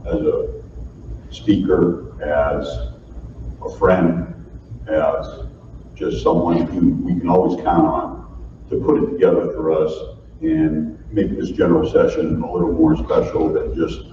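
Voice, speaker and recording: A man introducing a keynote speaker at a conference, speaking in halting, meandering phrases. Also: sits low, flat delivery. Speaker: organization president introducing a speaker. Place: large conference hall. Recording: far-off echoey hall, muffled and noisy.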